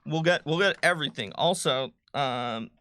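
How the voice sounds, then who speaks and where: Bright-voiced man thinking aloud on stream. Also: fairly high pitch, moderate pace, no room sound. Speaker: game designer hosting a livestream. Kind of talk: casual chat talking through a tabletop character build.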